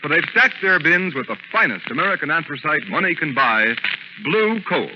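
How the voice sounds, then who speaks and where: A man's voice: on the high side, very animated, normal speed. A man announcing in an old-time radio advertising style, polished and emphatic. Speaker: radio announcer and sponsor pitchman. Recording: muffled, very noisy vintage radio recording.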